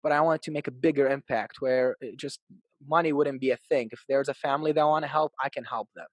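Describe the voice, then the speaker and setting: A man in his thirties from Iraq, a podcast guest telling his life story in an upbeat, animated way. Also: mid-range voice, quick pace, dry recording. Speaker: podcast guest, real estate agent. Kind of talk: casual interview storytelling.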